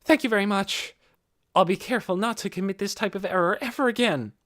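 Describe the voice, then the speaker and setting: Man voicing a high-pitched, fervent servant woman. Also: brisk, fairly bright tone. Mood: dutiful. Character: fiercely devoted female servant, formal, grave and obedient.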